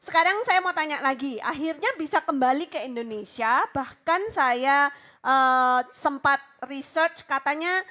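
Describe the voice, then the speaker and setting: A woman's voice: high-pitched, expressive, measured pace, bright, forceful tone. A woman co-hosting an interview in a casual, exclaiming manner. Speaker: co-host. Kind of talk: casual chat.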